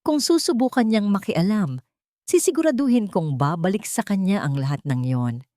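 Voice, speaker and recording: A smug, wealthy mother and villainess of an audio drama, acted by a woman narrator. She gloats with sinister confidence, her pitch swinging up animatedly in a dry, close recording. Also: lively intonation, moderate pace, warm tone, no room sound, natural voice. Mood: sinister, confident.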